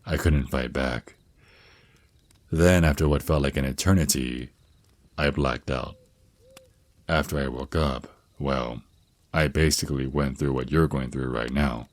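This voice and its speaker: A man's voice: very deep voice, fairly even intonation, unhurried, long pauses, dry recording. A man playing a tender, reassuring vampire naga mentor and boyfriend in an ASMR roleplay, speaking soothingly and affectionately.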